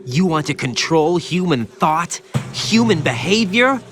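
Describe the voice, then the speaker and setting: A man's voice: a little high, big pitch swings, a little slow, music in the background. An actor playing a distraught young male soldier in a video game, protesting in disbelief. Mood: incredulous. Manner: incredulous, protesting. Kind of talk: dramatic game cutscene acting.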